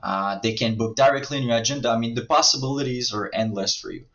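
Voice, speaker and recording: French-accented man giving a sales pitch. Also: fast, fairly bright tone, highs cut off. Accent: French Canadian. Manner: hesitant, many fillers and restarts. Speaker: marketing agency owner pitching services.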